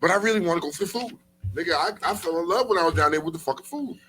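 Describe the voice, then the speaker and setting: A man co-hosting a casual talk show with profane, slangy, teasing banter, animated and repeating himself for emphasis. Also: a little high, rapid-fire, slight background noise, low-quality recording.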